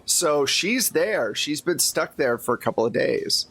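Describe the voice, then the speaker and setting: A man's voice: on the high side, lively intonation, a little slow, gravelly, fairly bright tone, slightly rough. A man narrating a tabletop role-playing game as game master, in a casual, conversational storytelling way.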